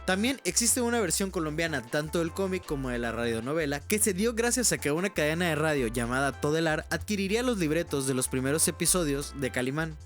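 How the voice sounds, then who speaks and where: A man reads scripted documentary narration in a slightly high voice. Faint music plays underneath as he presents in the manner of a video essay. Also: expressive, a little fast, few pauses.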